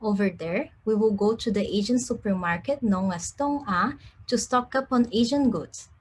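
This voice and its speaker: A woman's voice: medium pitch, normal speed, a little hiss or hum. A young woman from Brazil whose first language is Cantonese, reading aloud a personal essay. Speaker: contributor reading her story.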